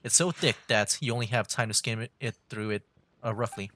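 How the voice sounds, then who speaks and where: Bright-voiced casual man streaming games. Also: fairly low pitch. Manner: casual, halting when reading aloud. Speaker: gaming streamer. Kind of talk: livestream gameplay commentary and reading aloud. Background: game music.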